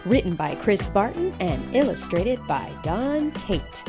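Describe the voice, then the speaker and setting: A middle-aged woman narrates a children's picture book. Her pace is slow, with deliberate pauses between phrases. Her medium-pitched voice has a teacherly way of setting out each phrase. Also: sing-song, loud music behind the voice. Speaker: children's read-aloud host. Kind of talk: storybook read-aloud narration for children.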